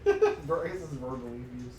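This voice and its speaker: A man's voice: medium pitch, wildly swooping pitch, fairly quick, a little mellow, far from the mic. A man co-hosting a casual podcast, joking and goofily riffing on words. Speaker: podcast co-host. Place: indoor room, recorded live on stream. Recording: echoey room, off-mic, background noise.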